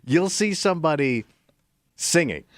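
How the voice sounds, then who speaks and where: A man's voice: fairly high pitch, lively intonation, unhurried, dry recording, studio quality. A man co-hosting a morning radio show, blunt and wry, often laughing. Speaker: radio co-host.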